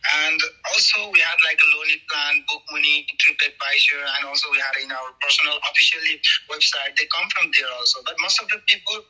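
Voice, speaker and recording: Male trekking guide, Nepali accent, interview guest. Raspy, very bright forceful voice, halting repetitive English. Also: fairly high pitch, rough recording. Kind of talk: interview answers.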